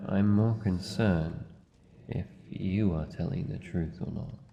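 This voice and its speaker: Slow, cavernous Australian shadow monster, acted by a man. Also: sits low, gravelly, full character voice. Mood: guarded, softening. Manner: formal, halting, guarded speech. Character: wary, lonely living shadow monster, grumpy but vulnerable. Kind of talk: fantasy ASMR roleplay, slow deliberate delivery. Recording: muffled, long cavernous reverb, faint noise.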